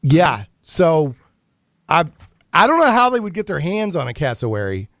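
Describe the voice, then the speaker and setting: A man's voice: a little high, very animated, moderate pace, fairly bright tone, no room sound, studio quality. A man hosting a talk show, chatting casually in a rambling, joking, mock-serious way. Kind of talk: casual chatty radio banter.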